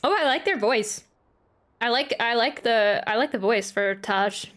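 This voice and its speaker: A woman with a fairly high voice doing a live game reaction. She talks informally, rambling and stopping to search for words as she chats. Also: measured pace, a bit bright. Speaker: streamer reacting to a video game. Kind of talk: casual live reaction chat.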